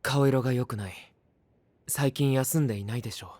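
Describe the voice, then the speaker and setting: Man as a hushed, husky, caring young knight. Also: medium pitch, moderate pace, dry recording, natural voice. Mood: concerned, gentle. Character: young male knight, quiet, caring, worried loyal friend. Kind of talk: Japanese anime-style voice drama.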